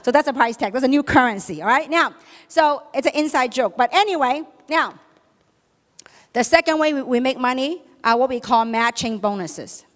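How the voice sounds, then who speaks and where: A woman's voice: high-pitched, moderate pace, fairly bright tone. A woman whose first language is Chinese gives an emphatic motivational seminar talk, throwing rhetorical questions at a live audience in a large hall. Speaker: speaker at a business seminar. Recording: long reverb of a large hall.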